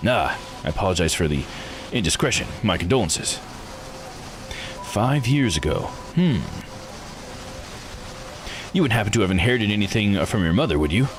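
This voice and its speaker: Man putting on a sly, theatrical gentleman researcher. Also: on the low side, very animated, normal speed, pauses often. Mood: polite, probing. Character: sly, courteous, inquisitive mermaid researcher with theatrical airs. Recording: music behind the voice.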